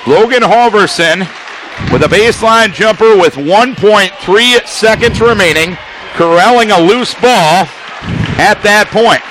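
A man delivers radio basketball play-by-play in a high, bright-pitched voice. He calls the action in rapid bursts that lift into shouts on the big plays. Steady hiss and gym noise sit under his voice. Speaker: play-by-play announcer. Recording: live gym broadcast with crowd noise.

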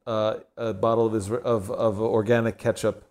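A man's voice: lowish voice, level tone, darkish tone. Man, interview host, easygoing, affirming, casual. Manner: easygoing, quick affirmations, laughs along. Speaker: podcast host.

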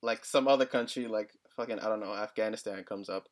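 A man's voice: on the low side, fairly quick. A young man co-hosting a podcast in casual chat, talking hesitantly with lots of "like" and small laughs. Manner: hesitant, many "like" fillers, chuckles. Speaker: podcast co-host.

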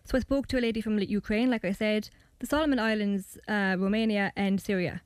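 A woman's voice: mid-range voice, fairly even intonation, brisk, clear, resonant tone, no echo. A young Irish woman talking thoughtfully about her documentary and narrating it. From Ireland. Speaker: guest and documentary maker. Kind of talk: interview chat and scripted narration.

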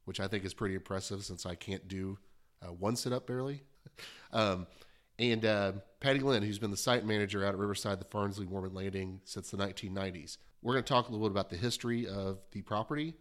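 A man's voice: fairly low pitch, quick pace. A man with a stuffy nose from a cold, hosting an interview in a friendly, chatty, self-deprecating way. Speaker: podcast host.

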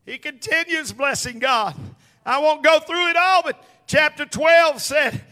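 Slow, high-pitched preacher with a piercing voice. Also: male voice, pushed and bright. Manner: emphatic, calls on the congregation to respond. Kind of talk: sermon to a congregation.

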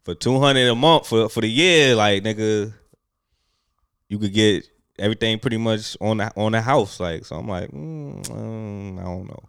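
A man's voice: on the low side, normal speed, gravelly, fairly bright tone, smooth, no echo, studio quality. A man co-hosting a casual podcast, teasing his friend with incredulous jabs. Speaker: podcast co-host.

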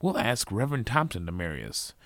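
A man's voice: fairly low pitch, very animated, fairly quick, no echo, studio quality. A man voicing a lovestruck eighth-grade boy who declares his love earnestly in flowery words in a told story. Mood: earnest, pleading. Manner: flowery, declaring romantic speech.

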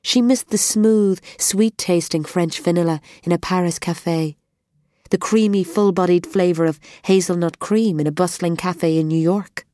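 A woman narrating an audiobook in a measured, expressive storytelling manner, recorded in a studio. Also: fairly low pitch, no echo.

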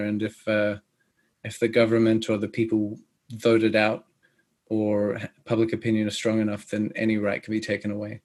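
A man in his thirties from Australia, with an Australian accent that sounds close to British, speaking as a guest on an interview show, measured and pausing often. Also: on the low side, a little mellow. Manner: measured, with many pauses and fillers. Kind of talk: podcast interview.

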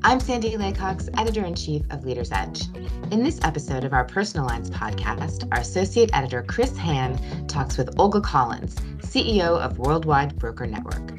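Low, lively female podcast host, scripted intro, music behind. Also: normal speed. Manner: polished, read from a script. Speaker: podcast host, editor-in-chief.

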